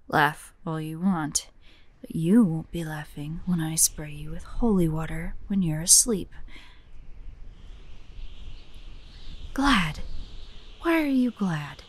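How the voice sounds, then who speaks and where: A woman playing a prickly, guarded young hunter who secretly cares, in a soft, breathy romantic audio roleplay with a defensive, hesitant manner. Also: deep voice. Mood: defensive, teasing. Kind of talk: romantic audio roleplay acting, addressed to the listener.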